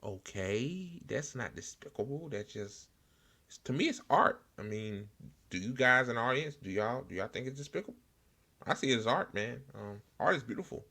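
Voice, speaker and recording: An American man hosting a political commentary show, speaking casually and unscripted in an informal, halting way. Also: mid-range voice, expressive, pushed and bright. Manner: informal, hesitant, halting delivery with blunt slang.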